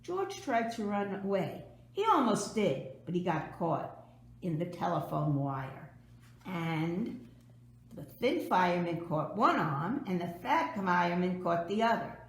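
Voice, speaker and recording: Lady reading a kids story out loud, super expressive, takes her time, bit roomy sounding. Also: fairly low pitch. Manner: animated, dramatic emphasis and drawn-out words. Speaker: storybook reader. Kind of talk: expressive children's storybook read-aloud.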